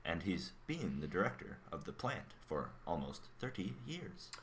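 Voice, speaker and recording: A man acting as a mind-reading robot in a radio play, calm and blunt, with a measured, formal delivery. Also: low voice, slight background noise. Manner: measured, matter-of-fact, formal. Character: mind-reading male robot, calm, blunt, curious about human emotions.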